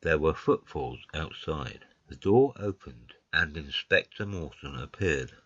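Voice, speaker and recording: A man plays a loyal friend telling a mystery in the first person. The voice is extremely deep and husky, on a slightly dull recording with no room sound. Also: animated, unhurried, a bit bright, no room sound, heavily acted. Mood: earnest, hushed. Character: male narrator, loyal friend of the detective. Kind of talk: scripted first-person story narration.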